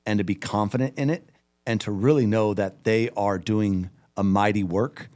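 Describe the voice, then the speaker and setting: Man, lowish voice, podcast co-host, casual, earnest, rambling. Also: steady tone, moderate pace, a little mellow. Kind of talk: casual chat.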